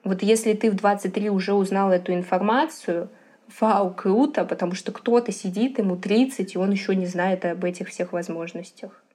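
A woman's voice: mid-range voice, steady tone, normal speed, mellow, slight background noise. A woman in her twenties, a podcast guest, giving earnest and emphatic motivating advice. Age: 20s.

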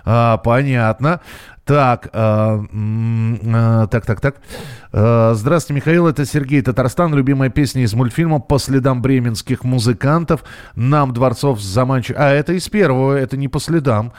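A man's voice: medium pitch, moderate pace, darkish tone, clean tone. A man hosting a casual live radio phone-in, talking in a hesitant way as if thinking aloud. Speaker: radio host.

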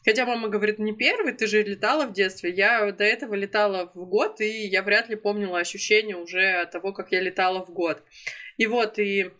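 A woman's voice: medium pitch, a little fast, bright, forceful tone. A young woman in her twenties from Russia, telling a personal travel story in a casual vlog, chatty with frequent fillers and self-corrections. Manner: chatty, many fillers and self-corrections, laughs now and then. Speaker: storytelling vlogger.

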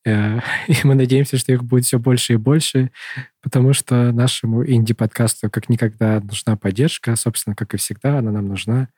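A man's voice: mid-range voice, fairly even intonation, fairly quick, darkish tone, breathy edge, dry recording, studio quality. A thirty-year-old man hosting a podcast, chatting casually and rambling through long self-correcting stories. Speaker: podcast host.

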